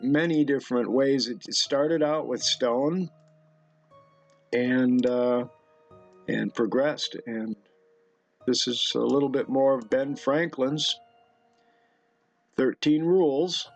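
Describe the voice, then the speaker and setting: A middle-aged man giving rambling off-the-cuff commentary in a halting, musing way, as if talking to himself at his computer. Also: medium pitch, soft background music. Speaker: video host. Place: indoor room at a computer.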